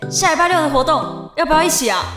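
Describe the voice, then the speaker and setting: A woman's voice: sits high, animated, fast talker. A woman playing a friendly, outgoing, chatty teenage fan in an audio drama. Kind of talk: Chinese audio drama acting. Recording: music under the voice.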